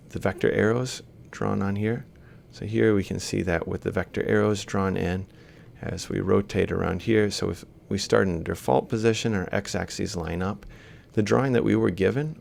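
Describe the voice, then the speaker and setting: A low, heavily gravelly man talks through worked examples like a lecturer. His delivery is informal and halting, moving step by step. A faint rumbling noise sits behind the voice. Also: darkish tone. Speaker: university robotics lecturer. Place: screen-recorded lecture voice-over.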